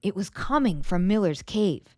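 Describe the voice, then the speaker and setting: A woman reads a children's story aloud with a very lively, swooping delivery. Her pitch sits in the middle for a woman, and the recording is dry and close, with little room sound. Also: unhurried, no room sound. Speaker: children's book narrator. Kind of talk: animated read-aloud storytelling.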